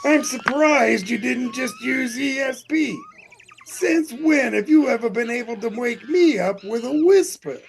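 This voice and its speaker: An actor playing a loud, dim, wisecracking man tourist in a 1940s-style radio comedy. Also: extremely high pitch, a little hiss or hum. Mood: blustery, indignant. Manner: blustery, exclaiming, drawn-out delivery.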